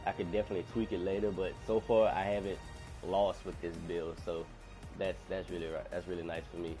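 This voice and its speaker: Male game streamer, low-pitched, nasal from a lingering cold, rambling casual talk, faint music underneath. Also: little pitch movement, fairly quick, warm tone. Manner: chatty, rambling, complains about rude players. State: recovering from illness. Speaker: gaming streamer. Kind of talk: casual live-stream commentary.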